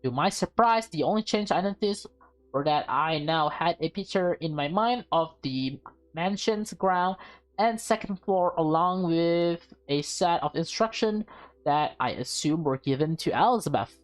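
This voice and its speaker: A woman's voice: fairly low pitch, animated, unhurried, soft background music. A woman reading a visual novel aloud with casual reactions, stumbling over lines and rereading them. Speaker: game player and streamer.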